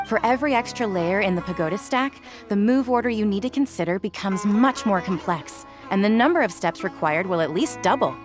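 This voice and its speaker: A woman's voice: medium pitch, lively intonation, fairly quick, hardly any pauses, faint music behind, lightly acted. A woman voicing an earnest, respectful young apprentice in a video game, polite and eager to learn. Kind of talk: scripted video game dialogue.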